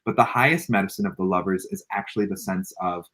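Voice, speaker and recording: A man in his twenties, a guest on an online radio show, explaining a tarot card in a relaxed, lively way and laughing often. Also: low voice, fast. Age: 20s. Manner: animated, laughs often, warm spiritual explanations. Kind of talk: casual online radio chat, tarot reading.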